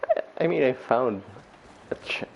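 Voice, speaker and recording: A man's voice: medium pitch, expressive, unhurried, creaky, slight background noise. A man livestreaming a game, reacting casually to what happens as he plays.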